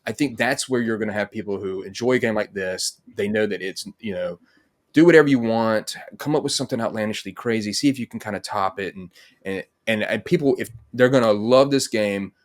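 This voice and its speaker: A man's voice: fairly low pitch, fairly quick. A man hosting a casual interview chat, rambling informally and thinking aloud as he goes. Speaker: podcast host.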